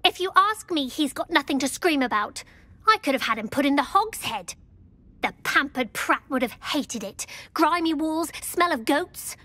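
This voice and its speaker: An actress playing a sardonic, scornful woman in a video game, speaking briskly. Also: high voice, animated, bright, forceful tone, no room sound. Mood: scornful, wry. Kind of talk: video game character acting.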